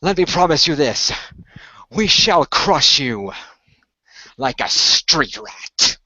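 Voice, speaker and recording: A man voicing a gloating villain sorcerer with pompous, drawn-out taunts in a hobby radio play, on dull audio. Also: on the high side, very animated, sharp tone, no room sound, heavily acted. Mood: gloating menace.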